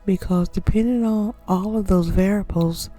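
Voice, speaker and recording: A woman who runs a soft-spoken ASMR channel, teaching in a gentle whisper and repeating key phrases slowly. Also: mid-range voice, faint music behind, poor audio.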